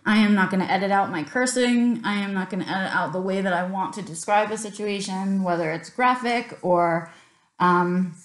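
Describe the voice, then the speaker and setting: A woman's voice: mid-range voice, normal speed. A woman in her thirties from Queens with a New York accent, talking candidly to camera in an unscripted vlog, with many ums and a passionate streak. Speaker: vlogger. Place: small room indoors, plain backdrop. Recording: roomy echo.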